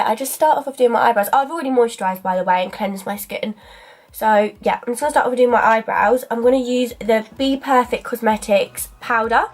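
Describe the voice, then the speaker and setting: A young woman with a snuffly cold chats her way through a makeup routine, filling pauses with ums and little asides. She is speaking to a camera close in front of her in a small dressing room, with faint music underneath. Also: on the high side. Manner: chatty, filler-heavy, talks while applying makeup. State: stuffy from a cold. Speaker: beauty vlogger. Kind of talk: casual makeup tutorial. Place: small bedroom dressing area indoors. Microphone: camera in front at arm's length.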